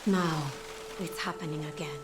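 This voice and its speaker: An actress playing a determined rogue intelligence agent in a game cutscene, speaking in a terse, grave, insistent way. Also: female voice, low-pitched, steady tone, normal speed, heavy background noise, close to an everyday voice. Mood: urgent, grave. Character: guarded, determined female intelligence field agent gone rogue. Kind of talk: dramatic game cutscene acting. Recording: loud music under the voice.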